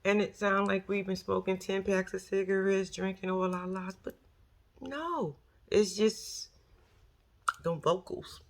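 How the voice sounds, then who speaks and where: A woman with a raspy voice and a New York accent, chatting casually on her vlog in a slangy, animated way. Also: mid-range voice, unhurried. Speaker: YouTube commentary host.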